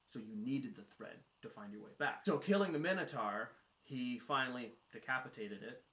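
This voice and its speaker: Bright-voiced man telling stories in a roomy space. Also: medium pitch, animated, moderate pace. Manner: acts out dialogue in colloquial phrasing. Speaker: guest storyteller. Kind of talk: casual retelling of a myth. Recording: roomy echo.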